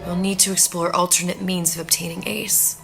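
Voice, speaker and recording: A dry, sharp-witted heroine. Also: female voice, on the low side, flat delivery, fairly quick, gravelly, over loud music. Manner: dry, cutting; hushed whisper when musing. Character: sharp-witted young woman, dry and resolute.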